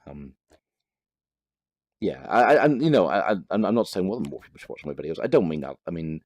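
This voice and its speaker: A man's voice: low voice, sing-song, a little fast, a little mellow, no echo, dull audio. A young Northern Irish man chatting casually over his gameplay, rambling, swearing freely and laughing at himself. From Northern Ireland. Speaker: gaming channel host.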